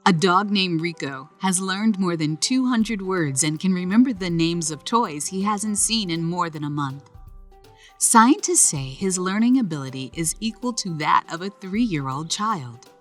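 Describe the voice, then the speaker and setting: A woman reading a children's story aloud in a slow, gentle storytelling manner. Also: lowish voice, expressive, soft background music. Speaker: narrator. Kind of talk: children's read-aloud narration.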